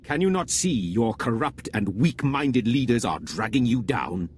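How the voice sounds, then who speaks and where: An actor playing a proud, zealous enemy general in a video game, speaking in a measured, haughty, oratorical way. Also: male voice, mid-range voice, sing-song, a little hiss or hum. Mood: disdainful. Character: proud, zealous male Imperial general, cold villain. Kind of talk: video game villain monologue.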